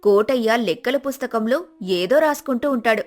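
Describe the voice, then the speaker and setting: Lady storyteller, highish voice, reading a folk tale with music behind. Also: expressive, moderate pace, a little mellow. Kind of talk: scripted folk tale narration.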